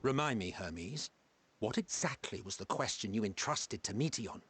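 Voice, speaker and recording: A man's voice: fairly low pitch, lively intonation, moderate pace, fairly bright tone, slightly rough. A man playing a haughty ancient official in a video game, speaking formally in a pointed, imperious and sardonic manner. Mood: probing, grave. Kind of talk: video game cutscene acting, theatrical formal speech. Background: music.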